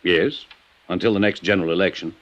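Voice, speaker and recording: An actor playing a defensive, indignant small-town American county attorney in Golden Age radio drama style, testy and guarded. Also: male voice, deep voice, fairly even intonation, fairly quick, a bit bright. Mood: guarded, grudging.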